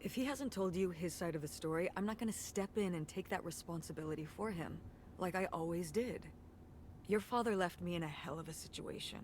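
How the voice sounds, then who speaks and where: A woman's voice: fairly low pitch, a little fast, a little mellow, slight background noise, close to an everyday voice. A woman playing a weary, wry middle-aged mother in a naturalistic video game drama, with a dry, defensive manner. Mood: bitter, exasperated.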